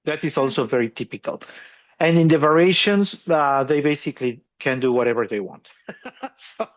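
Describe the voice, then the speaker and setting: A man's voice: a little high, normal speed, highs cut off. A man hosting a podcast, explaining opera singing technique, chuckling often and restarting his phrases. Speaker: podcast host.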